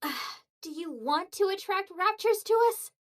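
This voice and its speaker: A woman's voice: extremely high pitch, expressive, moderate pace, fairly bright tone. A woman playing a timid, easily flustered young female android soldier in a video game dub, with a hesitant, stammering delivery. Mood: unsure.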